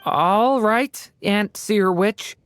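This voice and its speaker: A woman voicing a gentle, earnest, polite young child in a video game, speaking softly. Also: mid-range voice, extremely slow, clear, resonant tone. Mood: earnest, curious.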